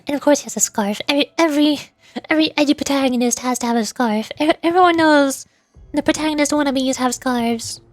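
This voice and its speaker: High-voiced young woman streaming a game. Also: a little fast, dry recording, studio quality. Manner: playful, rambling, teasing. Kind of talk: casual livestream game commentary.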